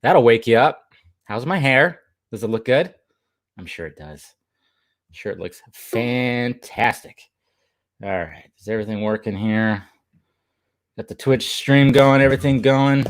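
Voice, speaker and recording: A young man with a hoarse voice, chatting casually and rambling to his live stream viewers from home. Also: medium pitch, expressive, unhurried, pauses often, no room sound, studio quality. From Southern California. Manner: rambling, chatty, reads chat aloud, laughs often.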